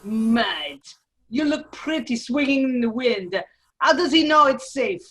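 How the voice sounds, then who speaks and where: A woman's voice: a little high, animated, takes it slowly, a bit bright. A woman as a commanding, menacing revolutionary agent. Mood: threatening. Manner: clipped, commanding, coolly formal. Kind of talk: amateur radio play table read. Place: remote virtual table read.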